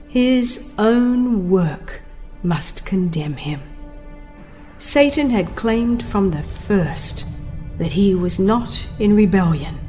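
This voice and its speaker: A woman reading a religious text as a dramatized audiobook narration, solemn, emphatic and deliberate in delivery. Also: medium pitch, a little mellow. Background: music.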